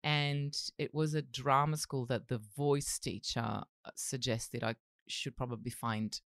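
A woman, chatting as an interview guest, animated and laughing often with many restarts. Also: a bass voice, a little slow, dry recording, studio quality. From Italy (Milan). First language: Italian. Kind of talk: casual interview chat.

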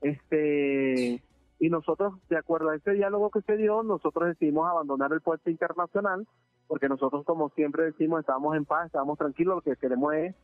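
A man from Venezuela speaks over a thin, tinny phone line. His voice sits a little high for a man, and he talks earnestly, stopping and restarting often with filler sounds. The sound is dry and close. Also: a little fast, no echo, soft background music. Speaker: interviewee, deported migrant. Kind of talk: phone interview testimony.

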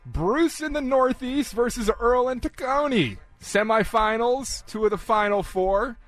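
Producer sidekick on talk radio, high voice, upbeat, faint music behind. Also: male voice, measured pace, fairly bright tone. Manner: upbeat, hyping the contest. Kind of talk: casual talk radio banter.

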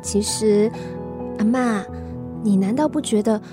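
A woman's voice: medium pitch, a little slow, over music, close to an everyday voice. A woman playing a caring, cheeky young granddaughter in a radio drama, with a coaxing, pleading manner. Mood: earnest, worried.

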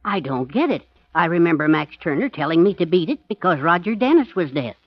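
An actor playing a jittery, easily baffled client in 1940s radio acting style, with a plaintive manner. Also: male voice, on the high side, sing-song, a little fast, dry recording. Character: jittery male client with a nervous tic, sheepish ex-drinker. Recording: dull vintage 1940s radio recording.

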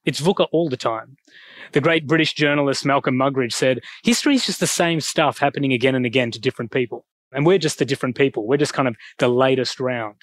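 Australian male speaker, middle-aged, headset mic, dry close sound, relaxed joking lecture delivery. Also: medium pitch, quick pace, no echo. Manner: relaxed, self-deprecating humor. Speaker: guest speaker. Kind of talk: public lecture. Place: school hall.

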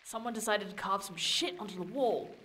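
An actress playing an irreverent, skeptical and wary young woman adventurer in an audio drama, talking in a casual, sardonic, expressive way. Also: medium pitch, fairly quick, slight background noise, natural voice.

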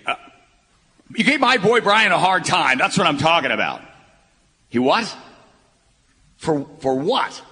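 An actor playing a cantankerous, theatrical old retired broadcaster, folksy and blustery, in a live radio comedy. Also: male voice, fairly high pitch, pushed and bright, heavily acted. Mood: blustery, indignant, then amazed, excited. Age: older. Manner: folksy, blustery, stammering when worked up. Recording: echoey live stage hall.

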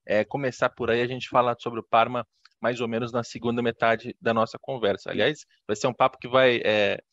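A man's voice: mid-range voice, flat delivery, fairly quick, fairly bright tone, no echo, dull audio. A man with a Brazilian accent hosting an interview, speaking fluently in a friendly, explanatory way. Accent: Brazilian Portuguese. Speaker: podcast host, interviewer.

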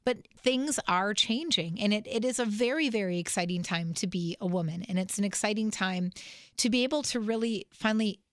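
A woman's voice: medium pitch, brisk, no room sound, clean studio sound. A woman guest on a podcast, talking earnestly and with animation while sick and congested. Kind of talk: casual interview conversation.

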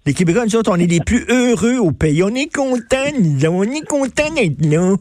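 A man's voice: high-pitched, big pitch swings, moderate pace, darkish tone, slight background noise. A man with a Quebec French accent hosting a radio talk show, prompting his co-host with news items. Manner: conversational, prompting.

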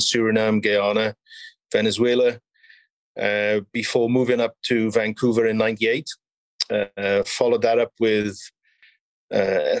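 A man in his fifties with a Welsh accent, speaking as an interview guest in a measured, explanatory way. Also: fairly low pitch, no echo. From South Wales. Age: 50s. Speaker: podcast guest, mining company chief executive and geologist. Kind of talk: conversational interview, recounting career.

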